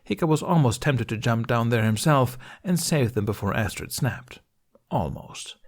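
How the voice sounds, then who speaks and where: A man's voice: mid-range voice, lively intonation, moderate pace. A man reading a scripted story aloud as its narrator. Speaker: amateur story reader.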